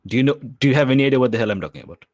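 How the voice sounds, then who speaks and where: A man playing an intense, feverishly excited prisoner in a tabletop game, speaking in urgent, slangy fragments. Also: medium pitch, rapid-fire, no room sound, clean studio sound, voice pushed up, close to an everyday voice. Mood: feverish excitement.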